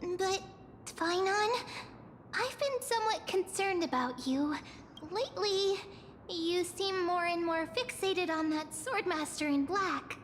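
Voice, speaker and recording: Tiny, very high-pitched sweet companion. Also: female voice, slow, a bit bright, a little hiss or hum, heavily acted. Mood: concerned. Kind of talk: English game voice acting. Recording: long reverb, music behind.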